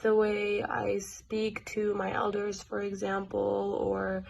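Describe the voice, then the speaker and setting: Very creaky, flat woman, lo-fi. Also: mid-range voice, slow pace, a little hiss or hum. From Pakistan. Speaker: interviewee. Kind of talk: personal statement. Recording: dull, lo-fi recording.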